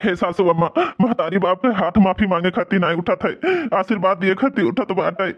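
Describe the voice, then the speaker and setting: A man voicing a gentle, deferential young son-in-law in a village cartoon, with an Awadhi accent, in dull lo-fi sound. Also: high-pitched, fairly quick, natural voice. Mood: humble, tender. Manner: deferential, pleading. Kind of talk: village cartoon comedy acting.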